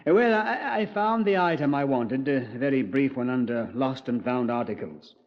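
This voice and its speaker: A male actor plays a British police commander in vintage radio drama. He recounts things in a measured, understated way, with a middling voice on a dull, band-limited recording. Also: medium pitch, lively intonation, lightly acted. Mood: composed, recounting. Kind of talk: 1950s radio drama, conversational narration.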